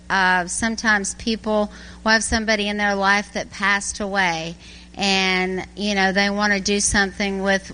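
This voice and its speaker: A woman's voice: medium pitch, bright, forceful tone, clean tone. A woman on ministry staff, talking to a church congregation in a casual, conversational way with frequent fillers. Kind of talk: church presentation. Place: large church sanctuary. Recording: long hall reverb in church.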